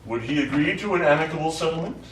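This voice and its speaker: Guy acting as a sleazy smug lawyer in a stage play, pitch jumps all over, far off mic in an echoey room. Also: lively intonation, fast talker, close to an everyday voice. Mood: nervous, scheming. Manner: eager, suggestive, chuckling. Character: lecherous, smug, none-too-bright male lawyer. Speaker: stage actor. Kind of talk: live stage comedy acting. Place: small theatre stage, distant microphone. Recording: echoey, noisy stage recording from afar.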